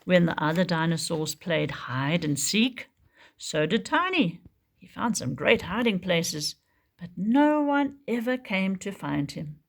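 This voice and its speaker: A woman teacher reading a picture book aloud for young children in an expressive storytelling manner. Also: fairly low pitch, unhurried, gravelly. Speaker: preschool teacher.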